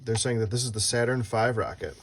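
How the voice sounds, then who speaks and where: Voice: medium pitch, a little fast, breathy edge. Someone reading a children's book aloud as host, playfully asking a child questions and adding casual asides.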